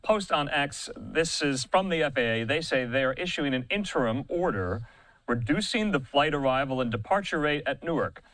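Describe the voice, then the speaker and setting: A man's voice: mid-range voice, expressive, moderate pace, fairly bright tone, no echo, rough recording. A man anchoring live breaking news as a news anchor, speaking close to the microphone.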